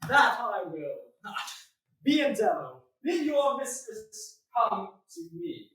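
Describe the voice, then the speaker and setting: A woman playing a wagering gentleman in a staged reading of a Shakespearean comedy. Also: on the high side, big pitch swings, takes it slowly, exaggerated voice. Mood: cocky. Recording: very echoey room, far from the mic.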